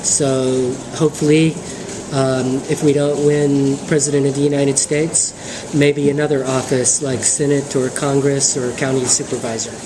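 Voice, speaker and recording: A man in his mid-fifties making an informal candidate pitch on a conference call, speaking in a halting, measured way. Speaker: political candidate. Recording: noisy conference call with steady hum.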